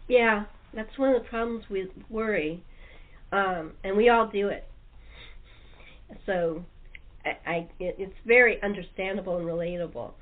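A woman's voice: medium pitch, a little slow. A woman hosting a casual panel interview, talking informally with her guests. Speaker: talk show host. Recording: phone-like, narrow-band audio.

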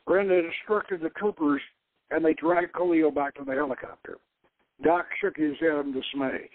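Male narrator, dramatic story reading, higher-pitched voice, occasional stumbles, phone-like band-limited audio. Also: steady tone, normal speed, no echo. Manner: stumbles over words and rereads phrases. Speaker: host reading his own novel aloud.

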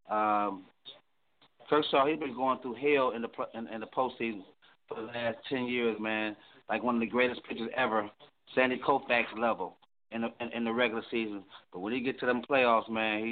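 A man on a sports talk panel giving a loose, rambling take full of restarts. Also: medium pitch. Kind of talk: sports analysis. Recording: noisy phone-line audio.

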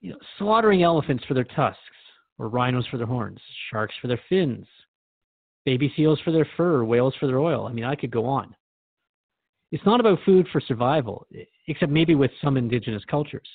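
A man's voice: medium pitch, lively intonation, fairly quick, no room sound, narrow, tinny audio. A man playing an earnest, thoughtful radio host who interviews in a reflective, conversational and sometimes hesitant way. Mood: earnest, troubled.

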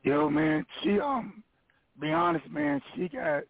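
A man's voice: extremely high pitch, big pitch swings, takes it slowly, creaky, no room sound. A man co-hosting a radio show, enthusiastic and slangy in casual praise. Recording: dry tinny phone line.

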